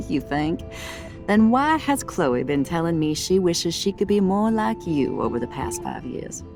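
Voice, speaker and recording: A woman with an American accent playing a warm, wry middle-aged mother in a video game, talking in a folksy, motherly, teasing way. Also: lowish voice, big pitch swings, measured pace, vocal fry, exaggerated voice. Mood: wry, affectionate. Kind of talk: video game acting, conversational dialogue. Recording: music under the voice. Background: background music.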